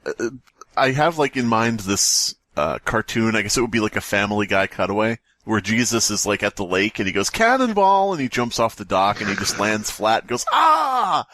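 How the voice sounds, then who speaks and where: A man's voice: fairly low pitch, sing-song, normal speed, bright, forceful tone, no echo. A man co-hosting a podcast, bantering casually and irreverently and acting out his jokes. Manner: irreverent, jokey. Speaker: podcast host. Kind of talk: casual comedic banter with acted quips.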